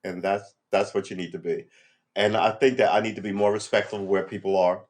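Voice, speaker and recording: A man in his fifties in a rambling, reflective monologue, full of restarts and "you know", and his pitch jumps when he gets worked up. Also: a little fast. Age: 50s. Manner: lively pitch swings when animated. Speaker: vlogger. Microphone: livestream setup.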